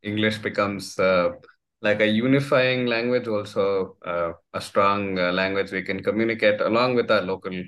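Indian man, lowish voice, halting. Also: fairly even intonation, a bit bright. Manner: hesitant, many uh fillers. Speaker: podcast host. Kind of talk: casual conversation.

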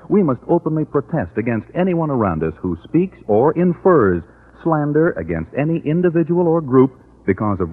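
A man's voice: mid-range voice, slightly rough. An American man working as a commercial announcer on old-time radio, with an emphatic, polished sales delivery. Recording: dull, lo-fi old radio recording.

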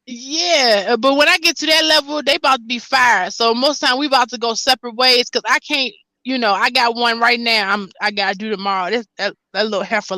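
A woman with an American accent hosts a casual chat in a high, bright voice. She talks informally and folksily, rambling from point to point, and the sound is close and dry. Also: brisk, hardly any pauses, dry recording. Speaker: host, hairdresser.

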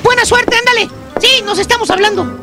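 A man doing a squeaky, nosy young coworker. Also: brisk, over loud music. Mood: gossipy. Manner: prying questions, soothing advice, comic sketch delivery. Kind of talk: radio comedy sketch acting.

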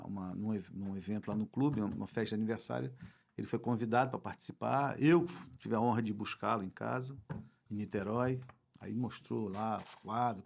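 A man's voice: mid-range voice, gravelly, a little mellow. A Brazilian man of sixty-one with a Rio de Janeiro accent, reminiscing informally as a podcast guest and repeating words for emphasis. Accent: Brazilian Portuguese, Rio de Janeiro. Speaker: football club president, interview guest. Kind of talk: casual podcast conversation, reminiscing.

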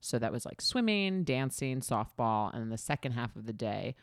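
A woman's voice: extremely low pitch, sing-song, moderate pace, dry recording. A woman from Queens, New York, co-hosting a casual chat podcast and tossing in dry joking asides. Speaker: podcast co-host.

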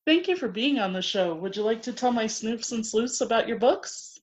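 A woman's voice: fairly high pitch, lively intonation, normal speed. A woman hosting a podcast, friendly and eager, interviewing an author. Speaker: podcast host. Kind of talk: casual interview chat. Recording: dull lo-fi audio.

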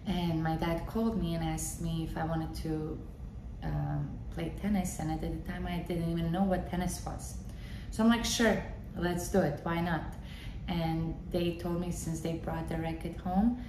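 A thirty-year-old woman from Bosnia and Herzegovina with a Bosnian accent, hosting a solo podcast and telling her own story conversationally, repeating words for emphasis. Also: on the low side. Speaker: podcast host, tennis coach. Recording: roomy echo with clear background noise.

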